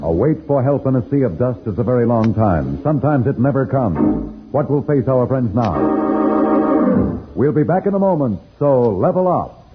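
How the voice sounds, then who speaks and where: A male announcer tells a suspenseful vintage radio story with lively, theatrical rise and fall. The sound is thin and tinny, and organ music plays loudly behind him. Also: medium pitch, normal speed. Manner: dramatic, suspenseful. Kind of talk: vintage radio serial narration.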